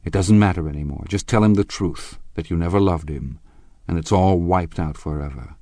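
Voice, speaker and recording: Guy playing a polite gentleman in love from a novel, steady, insistent, deep voice, dry sound. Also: normal speed, no echo, close to an everyday voice. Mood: earnest. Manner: steady, earnest. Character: earnest, composed gentleman lover, polite but insistent.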